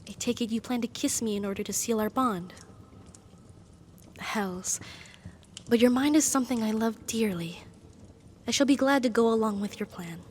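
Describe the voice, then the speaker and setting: A woman playing a powerful demon devoted to her master in an intimate ASMR roleplay, speaking formally in a soft, breathy voice that often drops to a whisper. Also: a little high, a little hiss or hum, close to an everyday voice. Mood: tender. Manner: formal, devoted, slow and hesitant phrasing.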